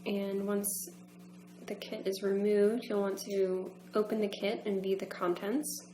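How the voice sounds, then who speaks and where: Female instructor for a how-to training film, methodical step-by-step delivery, medium pitch, roomy echo with faint hum. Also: flat delivery, unhurried, dark tone. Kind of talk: instructional demonstration narration.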